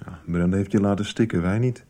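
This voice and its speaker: A hurt but caring household man in a nineties radio soap. His voice is mellow and a little low. He talks plainly, with some hesitation. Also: fast talker. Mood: reproachful. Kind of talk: 1990s Dutch radio soap acting.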